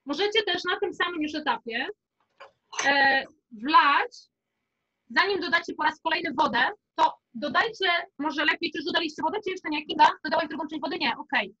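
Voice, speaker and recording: A woman's voice: high voice, lively intonation, normal speed, sharp tone, highs cut off. A young woman leading a casual live cooking workshop in a chatty, informal, encouraging way. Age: young adult. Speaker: cooking instructor. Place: home kitchen, indoors.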